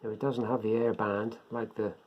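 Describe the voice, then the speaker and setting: An older man giving a casual, unscripted product demonstration, talking haltingly as he thinks aloud. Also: lowish voice, little pitch movement. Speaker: presenter of a beginners' radio hobby video. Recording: dull lo-fi sound, faint background noise.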